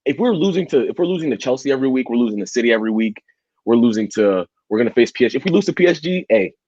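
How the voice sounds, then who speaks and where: A man's voice: mid-range voice, expressive, racing, darkish tone, dry recording. A man co-hosting a casual football podcast debate, blunt and emphatic, often repeating words as he drives his point home. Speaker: podcast co-host. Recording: dull, muffled audio.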